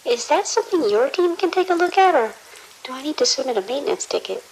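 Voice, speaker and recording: An actress as a conscientious female research doctor, high in pitch and expressive in melody. She is polite and precise in this audio drama, with a faint hiss behind her. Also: fast, poor audio. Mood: calm, polite.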